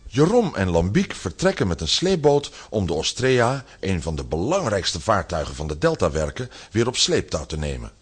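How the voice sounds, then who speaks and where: A man's voice: deep voice, wildly swooping pitch, normal speed, husky. A man giving dramatic scripted narration as a storyteller.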